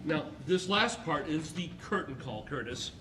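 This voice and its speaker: A man's voice: on the high side, measured pace, a crowd in the background. A man playing a patient herald announcer. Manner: hesitant, patient, lively announcing. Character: cheerful male herald announcer. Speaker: announcer in an audio play.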